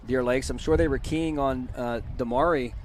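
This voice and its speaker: A man calling a high school football broadcast from the press box, chatty and energetic, and losing his voice. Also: a little hiss or hum. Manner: chatty, energetic, reads scores quickly. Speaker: play-by-play broadcaster. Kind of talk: postgame sports commentary.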